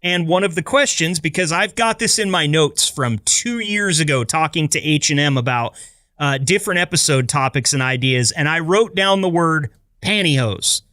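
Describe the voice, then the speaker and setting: A man with a somewhat high voice talks in a casual, chatty podcast style. He tells stories as a co-host with a sense of amazement, recorded dry with no room sound. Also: expressive, normal speed, pushed and bright, no room sound. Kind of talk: casual conversational storytelling.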